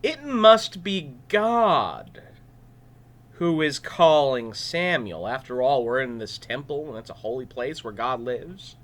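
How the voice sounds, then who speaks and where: A cranky old priest in a children's Bible story, woken from sleep and short of patience. A man puts him on, pitching his voice up and getting louder as he scolds firmly. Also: lively intonation, slow pace. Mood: musing. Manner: firm, scolding. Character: old, tired, grumpy male priest.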